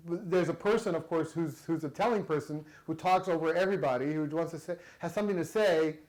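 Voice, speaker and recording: A man's voice: on the high side, fairly quick, a little mellow, poor audio. Chatty male workshop presenter. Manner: conversational, chatty, repeats words. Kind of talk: workshop talk with audience.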